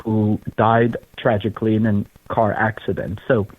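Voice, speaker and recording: A middle-aged man with a French accent, from Belgium, telling his story in an interview with earnest, unhurried pacing. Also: fairly low pitch, little pitch movement, slightly rough, no echo. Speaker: guest, charity founder. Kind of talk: interview conversation. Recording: tinny phone-like line.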